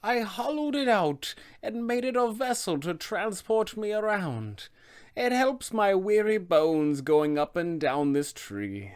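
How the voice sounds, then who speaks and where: Man voicing a high, slow, crooning old witch. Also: expressive, clean tone. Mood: matter-of-fact, weary. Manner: sweetly crooning, slow, measured. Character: old, deluded, doting motherly witch, softly sinister.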